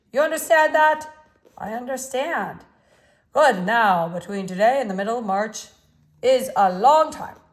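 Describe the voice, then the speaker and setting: A woman voicing a blunt, forceful older male artist with a strong voice and a faint Russian accent. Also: a little high, pitched above the performer's own voice, rough recording. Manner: forceful, insistent, commanding.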